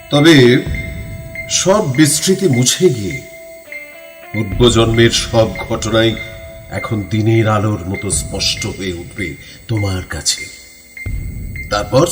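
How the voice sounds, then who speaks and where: An actor playing a hypnotist who presses and soothes a man through memories of a past life. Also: on the low side. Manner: coaxing, pressing, then soothing. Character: insistent male hypnotist guiding regression. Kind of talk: Bengali radio drama acting.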